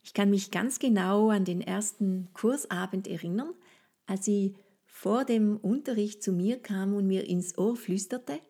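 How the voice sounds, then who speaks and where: A woman with a French accent, a native French speaker, hosting a solo podcast in a gentle, inviting way and speaking straight to the listener. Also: mid-range voice, measured pace, husky. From France. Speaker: podcast host and French language trainer.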